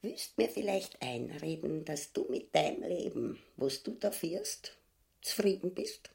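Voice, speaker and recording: An actress with a Viennese accent playing a scheming, coarse wife in a satirical radio play, scornful and wheedling in a theatrical way. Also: female voice, low voice, lively intonation, creaky, a little mellow, full character voice. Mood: needling. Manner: scornful, wheedling, drawn-out mockery. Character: scheming, nagging, coarse, ambitious wife goading her husband.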